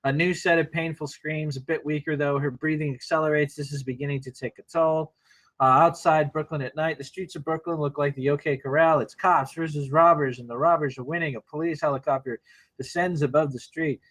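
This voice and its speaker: A man's voice: on the high side, fairly even intonation, fairly quick, a bit bright, low-quality recording. A man narrating a screenplay table read, reading action lines aloud informally with wry asides.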